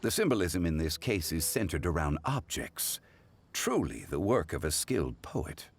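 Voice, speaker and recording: An actor playing a refined, erudite poetry judge in a video game, speaking formally and with composure. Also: male voice, sits low, sing-song, moderate pace, breathy edge, heavily acted. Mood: measured, appreciative. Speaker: judge commenting on couplets. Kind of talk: formal scripted game dialogue.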